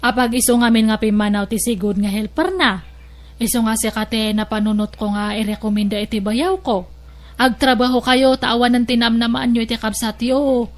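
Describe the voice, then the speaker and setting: A woman's voice: fairly high pitch, steady tone, moderate pace, close to an everyday voice. A woman voicing a firm older sister-in-law in an audio drama, who lectures and asks pointed questions. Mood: advising.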